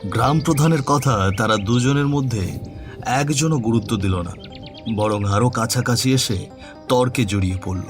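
A man narrating a horror story in a suspenseful, dramatic manner. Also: medium pitch. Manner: slow, suspenseful, dramatic delivery. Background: background music.

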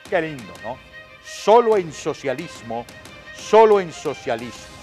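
A man's voice: on the high side, very animated, a little slow, a little mellow, faint music behind. A middle-aged man with a Venezuelan accent hosting a news show, giving indignant, sarcastic commentary and emphatic rhetorical questions as he reads out reports. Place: TV studio.

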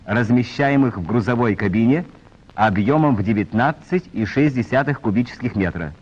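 A male narrator heard through a dull, muffled old film soundtrack. He reads technical script in the formal manner of a 1940s announcer. His delivery is measured, at a middling pitch. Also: expressive, husky. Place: voice-over recorded for a film.

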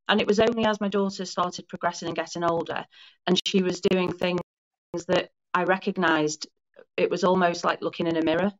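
Lo-fi woman in her forties, low-voiced. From Wales. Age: 40s. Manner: thoughtful, explains at length with small restarts and self-corrections. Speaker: guest. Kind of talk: casual conversational interview. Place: home lounge. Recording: dull lo-fi call audio.

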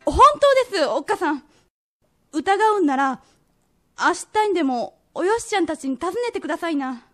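An actress playing a polite, guarded young merchant's daughter in a period radio drama, speaking formally. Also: female voice, very high voice, animated, moderate pace. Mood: defensive.

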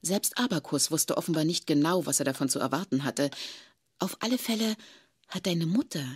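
An actress playing a grave, knowing woman who explains witch lore in a measured, ominous way in an audio drama. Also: sits low, expressive, husky, no room sound, clean studio sound. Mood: grave explaining. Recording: noisy cassette radio play recording.

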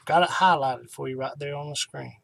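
Middle-aged man, higher-pitched voice, informal devotional talk. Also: fairly quick, gravelly, fairly bright tone, no room sound. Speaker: online ministry host.

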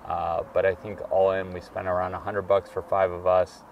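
A relaxed man in his thirties speaks in a deep, low voice and rambles easily through his story. He sits outdoors, recorded from a distance by the camera, with steady street noise behind him. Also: moderate pace, muffled recording. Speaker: vlog co-host. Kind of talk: casual travel chat. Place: outdoors beside a travel trailer.

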